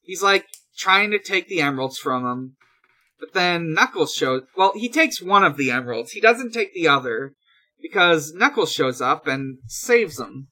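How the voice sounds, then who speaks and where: A podcast host casually recapping a show in a halting, hesitant way. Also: medium pitch, animated, pushed and bright. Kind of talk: casual episode recap chat.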